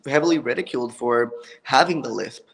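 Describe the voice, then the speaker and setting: Man with a lisp, gravelly, long reverb, from puerto rico, halting casual answers. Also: mid-range voice. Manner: relaxed, joking, halting with ums. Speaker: wrestler, guest.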